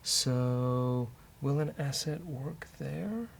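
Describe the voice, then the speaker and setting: A man's voice: mid-range voice, drawn-out words, gravelly. A man hosting a casual coding session, thinking aloud and muttering hesitantly as he works. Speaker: developer and show host. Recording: faint background noise.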